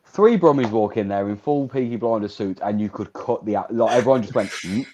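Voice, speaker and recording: A young man with a Birmingham accent telling a story on a podcast, quick and animated. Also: on the low side, a little hiss or hum. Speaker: podcast co-host. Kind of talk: casual storytelling.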